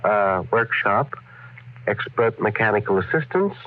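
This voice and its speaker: A man's voice: on the low side, expressive, a little slow. A man playing an idealistic, polite but stubborn inventor in a 1950s radio drama, speaking earnestly with a lyrical, slightly hesitant manner. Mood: earnest, firm. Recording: tinny, noisy 1950s radio recording.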